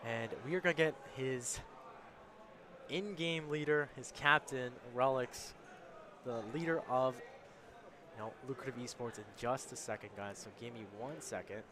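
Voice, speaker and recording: A man conducts a relaxed on-site interview at a gaming event. His voice sits at an average pitch and sounds slightly worn, as if he is losing it. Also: unhurried, crowd noise. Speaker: esports interviewer. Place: large indoor gaming event venue.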